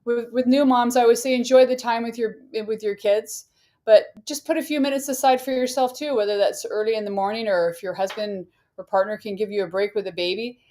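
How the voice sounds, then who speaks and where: A woman's voice: fairly high pitch, fairly even intonation, brisk, mellow. A woman in her sixties from Arizona, a fitness trainer guest answering interview questions in an upbeat way and laughing easily. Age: 60s.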